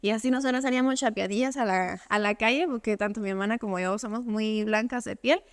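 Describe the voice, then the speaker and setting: Young woman, interview guest, casual and filler-heavy, higher pitch. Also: fast talker. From Cuba. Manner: conversational, many fillers like "o sea" and "pues".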